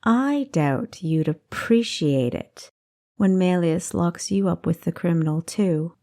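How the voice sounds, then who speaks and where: A woman's voice: on the low side, animated, slow pace, dark tone. A woman voicing a prim female companion in an audiobook, admonishing with dry wit. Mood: dry teasing. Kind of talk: audiobook character acting.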